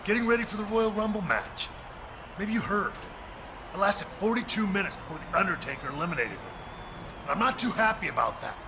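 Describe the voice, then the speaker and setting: A man playing a cocky, sardonic, confrontational pro wrestler in a video game, with a gravelly voice and sarcastic delivery. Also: sits high, expressive, fairly quick. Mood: irritated. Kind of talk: video game story-mode voice acting. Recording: clear ambient background noise.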